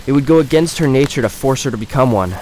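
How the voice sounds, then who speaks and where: A man playing a calm, reassuring dragon in human form. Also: mid-range voice, fast, a little hiss or hum, lightly acted, poor audio. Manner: calm, smooth, reasoning.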